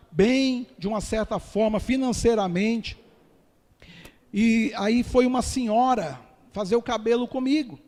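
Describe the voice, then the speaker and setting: A man's voice: sits high, darkish tone. A man with a Brazilian Portuguese accent, a pastor giving an earnest testimony sermon and calling his listeners dear ones. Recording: long hall reverb.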